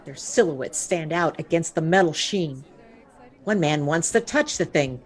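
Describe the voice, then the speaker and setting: A breathless radio field reporter. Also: female voice, deep voice, animated, measured pace, some chatter behind. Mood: reporting. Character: on-scene radio reporter, woman, composed then awed. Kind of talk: radio drama acting.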